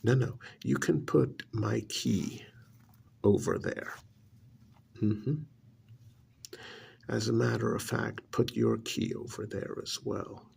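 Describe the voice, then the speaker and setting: A man playing a haughty, irritated older billionaire uncle in an ASMR roleplay, gravelly and breathy, talking down in drawn-out, sarcastic phrases. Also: on the low side, very animated, a little mellow, exaggerated voice. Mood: bitter sarcasm. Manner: slow, condescending, drawn-out sarcasm with pauses.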